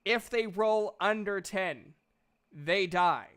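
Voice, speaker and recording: A man's voice: high-pitched, slow pace, fairly bright tone, clean tone. A man running a tabletop roleplaying game as game master, talking informally.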